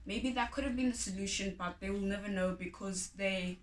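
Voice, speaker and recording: A woman's voice: medium pitch, fairly quick. A woman reading a folk tale aloud as a storyteller. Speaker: story reader. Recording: echoey room, distant from mic.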